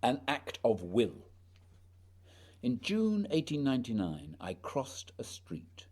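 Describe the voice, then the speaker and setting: A man's voice: medium pitch, wildly swooping pitch, takes it slowly, darkish tone, breathy edge, heavily acted. A man playing a proud, determined naval explorer in a radio play, speaking earnestly and dramatically, often questioning. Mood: proud, recollecting.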